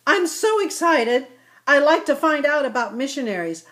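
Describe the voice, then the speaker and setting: A woman doing an excited young ibex boy. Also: sits high, moderate pace, pitched above the performer's own voice. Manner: eager, loud and squealing.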